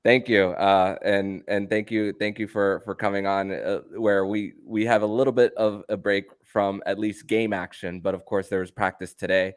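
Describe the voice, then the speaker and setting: A man hosting a sports podcast, who tends to speak haltingly and repeat words as he frames his questions. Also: lowish voice, level tone, smooth. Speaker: podcast host.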